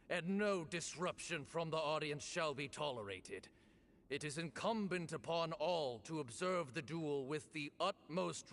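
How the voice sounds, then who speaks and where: A man's voice: on the high side, fairly bright tone, heavily acted. A man voicing a solemn game character who presides over ritual duels with formal, stately proclamations. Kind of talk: video game cutscene acting.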